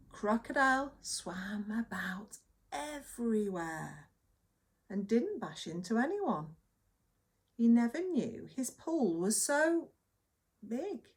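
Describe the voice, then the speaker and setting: A woman reading a children's story aloud as a nursery teacher, with dramatic emphasis and hushed moments. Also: on the high side.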